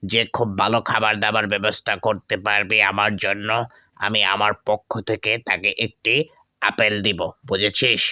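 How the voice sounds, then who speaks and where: Guy doing bossy wandering holy man for a cartoon, low flat delivery, forceful. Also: normal speed, dry recording, clean studio sound. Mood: commanding. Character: commanding wandering holy man who grants boons. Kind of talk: Bangla cartoon voice acting.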